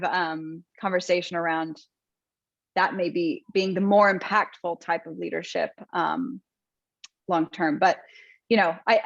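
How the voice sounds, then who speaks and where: Soft-spoken woman on a muffled line. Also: on the low side, gravelly, dry recording. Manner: thoughtful, many ums and restarts. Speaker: interview guest. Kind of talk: conversational interview. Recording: dry, muffled lo-fi sound.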